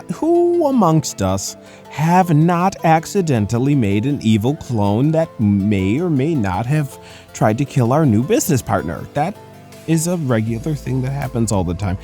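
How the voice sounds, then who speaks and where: A man hosting a casual commentary recap, telling the story in an animated, conversational way. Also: mid-range voice. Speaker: comics recap host. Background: music.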